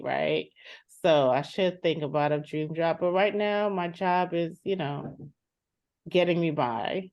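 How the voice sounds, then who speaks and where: Woman acting a low-voiced, halting therapy client. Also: dry recording, highs cut off. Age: 30s. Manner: halting, reflective. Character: content, practical thirty-year-old homebody, protective older sister. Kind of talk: improvised therapy role-play.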